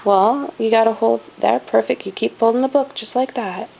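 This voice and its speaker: A woman reading a children's storybook aloud as a mother does at home, with expressive stress on the dramatic words. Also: on the high side, moderate pace, gravelly. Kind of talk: storybook read-aloud narration. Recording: dull, lo-fi home recording.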